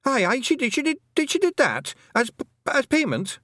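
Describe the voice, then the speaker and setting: A man voicing a tiny, nervous, excitable male pixie with a Scottish accent, gabbling in a storybook reading. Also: high-pitched, a little slow, dry recording, studio quality, voice pushed up, heavily acted. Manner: gabbling, shrieking, evasive.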